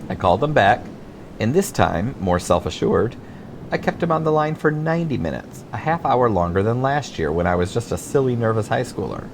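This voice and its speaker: A man's voice: medium pitch, sing-song, brisk, creaky, background noise. A middle-aged American man from Michigan reading his own memoir as scripted audiobook narration. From Michigan, United States.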